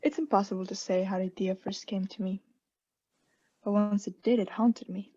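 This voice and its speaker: A woman playing a mad condemned murderess in a radio play, intense and halting, often sinking into breathy hushed tones as she insists she is sane. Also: mid-range voice, steady tone, lo-fi sound, lightly acted. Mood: haunted. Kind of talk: theatrical radio play monologue.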